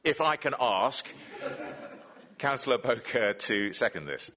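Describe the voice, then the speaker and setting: A man's voice: medium pitch, lively intonation, measured pace, pushed and bright. A man serving as council leader, calling a vote in a formal way with many fillers.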